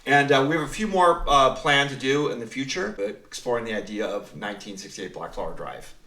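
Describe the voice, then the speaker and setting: A man's voice: medium pitch, a little fast, bright, forceful tone. Man hosting a podcast, echoey and distant. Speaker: podcast host and producer. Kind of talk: casual podcast conversation. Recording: echoey room, distant from mic.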